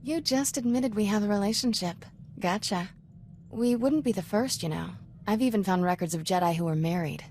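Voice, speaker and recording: A woman's voice: mid-range voice, moderate pace, darkish tone, a little hiss or hum, close to an everyday voice. A woman playing a bookish, flirtatious Jedi companion in a video game, with a casual, clipped, teasing delivery. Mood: teasing, flustered. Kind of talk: video game dialogue acting.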